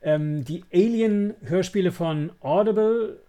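A man's voice: high-pitched, slow pace, mellow. A man co-hosting a podcast in casual chat, searching for his words as he goes. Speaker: podcast host. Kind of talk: casual chat about audio dramas.

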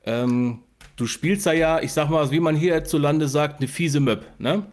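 Casual male podcast host, slightly high voice. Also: unhurried, darkish tone, a little hiss or hum. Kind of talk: casual chat, interviewing.

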